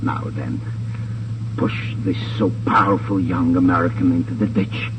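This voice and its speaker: An actor playing a smug, suavely menacing crime boss with a pompous, precise delivery in a 1950s radio drama. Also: male voice, low voice, measured pace. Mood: commanding. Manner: pompous, over-precise, relishing his words. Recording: dull, noisy old radio transcription.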